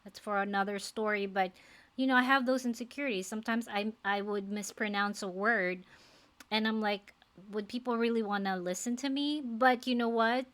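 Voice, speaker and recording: A woman's voice: mid-range voice, steady tone, moderate pace, fairly bright tone. A woman in her forties from the Philippines with a Filipino accent, English not her first language, talking earnestly to camera as a faith-based life coach, with many fillers. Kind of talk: casual talk to camera.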